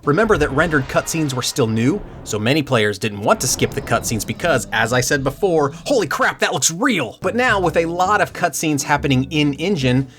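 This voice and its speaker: A man presenting a scripted video essay about game usability, with dry humor and excited asides. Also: fairly high pitch, moderate pace, hardly any pauses, soft background music. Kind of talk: scripted commentary with jokes.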